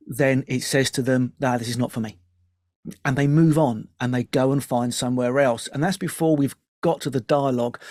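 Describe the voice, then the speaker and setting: A man's voice: medium pitch, a little mellow, no echo. Man explaining earnestly, close dry sound. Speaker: music company founder being interviewed. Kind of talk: explanatory interview talk.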